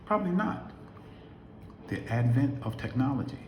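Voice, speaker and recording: A man's voice: medium pitch, big pitch swings, moderate pace, a little mellow, slight background noise. A man in his late fifties giving an emphatic motivational talk to camera, asking his viewers many rhetorical questions. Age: 50s. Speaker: affiliate marketer vlogger. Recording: echoey room.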